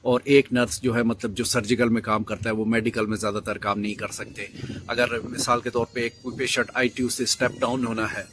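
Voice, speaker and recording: A man's voice: mid-range voice, flat delivery, fairly quick, a little hiss or hum. A man giving casual advice as a video host, with many fillers and restarts.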